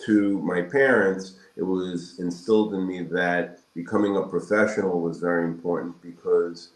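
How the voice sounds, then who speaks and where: A man in his sixties from Brooklyn, answering interview questions in a measured, halting, reflective way with pauses between phrases. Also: deep voice, fairly even intonation. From Brooklyn, New York, United States. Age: 60s. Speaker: guest, periodontal surgeon. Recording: roomy echoing sound.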